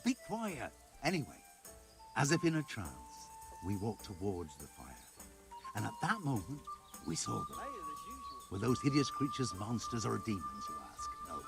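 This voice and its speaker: A man's voice: medium pitch, huge pitch swings, moderate pace, loud music behind the voice. A man playing a boastful, idle tavern storyteller, telling tall tales in a theatrical, self-important manner. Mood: indignant. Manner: melodramatic, self-important, indignant when interrupted.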